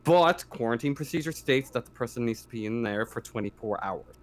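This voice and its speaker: A man with an average-pitched voice works as game master for a tabletop roleplaying session. He explains rules and options haltingly, often restarting his words. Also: expressive.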